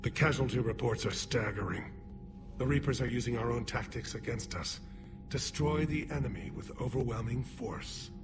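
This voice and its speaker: A man's voice: medium pitch, moderate pace, lightly acted. An actor playing a weary, grave male alien military leader, speaking solemnly and with dignity over game music. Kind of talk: video game voice acting.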